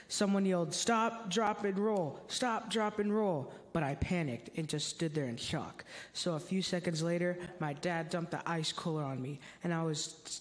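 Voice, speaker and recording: A young man playing a chatty, excitable, pun-loving teenage boy in a church reader's theater, recorded in an echoey hall. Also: a little high, close to an everyday voice. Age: teenager or young adult.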